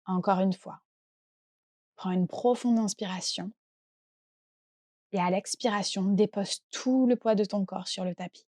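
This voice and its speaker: Mellow-voiced woman guiding relaxation. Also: medium pitch. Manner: calm, gentle, unhurried guiding. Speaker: yoga nidra guide. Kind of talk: guided relaxation meditation. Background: soft background music.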